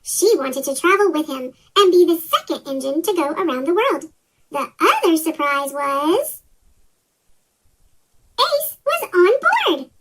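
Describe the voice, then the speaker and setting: A woman reading a children's story aloud as scripted narration, her voice sped up with a chipmunk pitch-shift effect. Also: very animated, unhurried, a bit bright, low-quality recording.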